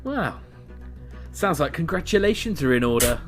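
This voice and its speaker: A man's voice: a little high, sing-song, moderate pace, soft background music. A man playing a menacing, sarcastic gang henchman with a taunting, mock-polite manner in an audio drama. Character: menacing, mocking male henchman gang leader, theatrically sarcastic.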